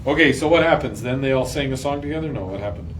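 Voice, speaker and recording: A man's voice: medium pitch, quick pace. Playful man leading in an echoey room. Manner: playful, slangy, lots of questions to the group. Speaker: group Bible reading leader. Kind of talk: casual, interactive Bible lesson. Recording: roomy echo, distant mic, faint noise.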